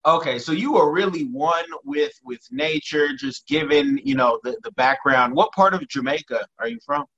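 A man's voice: fairly high pitch, sing-song, normal speed, vocal fry, sharp tone, highs cut off. A man hosting an interview from his home kitchen, rambling and repeating words as he talks eagerly. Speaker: interview host. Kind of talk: casual interview chat.

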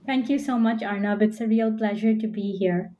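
A woman from Belgium speaking as an academic interview guest, giving a reflective account in an articulate, measured way. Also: mid-range voice, dark tone, dull audio, slight background noise. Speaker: podcast guest, literature professor.

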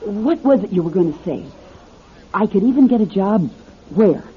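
A woman's voice: mid-range voice, expressive, normal speed, close to an everyday voice. An actress playing a lonely, uncanny young fortune-teller down on her luck in a classic radio drama, plain-spoken and wistful. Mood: curious, pressing. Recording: muffled, noisy old radio drama recording.

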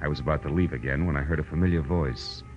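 An actor playing a tough, wry private detective in 1940s radio noir style, narrating and talking sardonically. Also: male voice, extremely low pitch, quick pace, a little mellow, muffled recording. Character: wry, hard-boiled male private detective. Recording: old radio show, music underneath.

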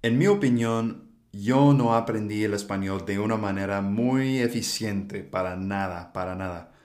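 Unhurried low-voiced man, echoey. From United States. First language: English. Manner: relaxed, thinking aloud with fillers. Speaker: podcast host. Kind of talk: solo podcast monologue for learners.

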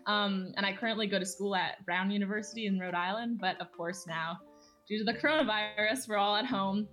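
Earnest eighteen-year-old podcast host. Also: female voice, mid-range voice, fairly quick, fairly bright tone, soft background music. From Los Angeles. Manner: earnest, many fillers like "um" and "like". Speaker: podcast host, youth organizer. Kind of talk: casual podcast chat.

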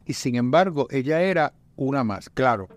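A man talking casually among fellow writers, making measured, thoughtful suggestions. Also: medium pitch, animated, no room sound. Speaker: guest poet. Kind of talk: casual conversation.

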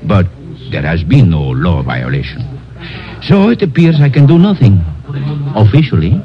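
A weary Latin police lieutenant with a Spanish accent in an old radio drama. His low voice moves in lively swings, formal and slyly insinuating. The recording is dull and aged, with faint music. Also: normal speed. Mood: sly, hinting.

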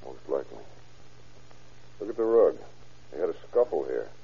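Low gruff detective working out clues, terse, old muffled radio crime show. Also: male voice, sing-song, moderate pace, warm tone, full character voice. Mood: matter-of-fact. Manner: matter-of-fact, terse, deductive. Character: shrewd, hard-boiled male police investigator. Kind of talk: old-time radio crime drama acting.

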